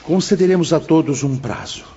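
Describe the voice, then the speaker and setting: A man playing a venerable, fatherly spirit mentor in an audiobook drama, solemn and exhorting in a formal, measured way. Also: on the high side, mellow, breathy edge. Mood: solemn, instructive. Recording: dull, reverberant hall sound with music behind.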